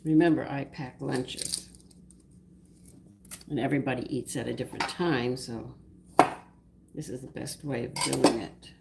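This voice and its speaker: A low-pitched woman with a hoarse, fading voice talks viewers through a recipe in a chatty, homey way. She sounds like she is at home, and the clatter of dishes and cutlery in her kitchen comes through on a noisy live-stream recording. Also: fairly even intonation, unhurried, long pauses. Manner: chatty and homey, talking through each step. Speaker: cooking show host. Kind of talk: live-streamed cooking demonstration, greeting viewers by name. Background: clinking dishes and cutlery.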